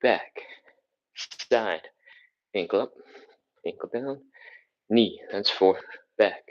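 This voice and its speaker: A very low-voiced man with a cold leads a home stretching session, counting reps in short cues between breathy sighs. The sound is dull and lo-fi, like a webcam mic across the room. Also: takes it slowly. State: sniffly from a cold. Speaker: stretching instructor. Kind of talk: live-streamed workout coaching. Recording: slightly dull, lo-fi stream audio.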